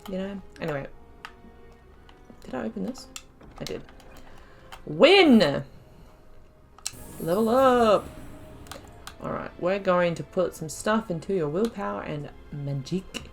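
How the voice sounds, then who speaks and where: A woman giving casual commentary while playing a game, thinking aloud and breaking into excited exclamations. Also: mid-range voice, takes it slowly, gravelly, long pauses, soft background music. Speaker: let's play host.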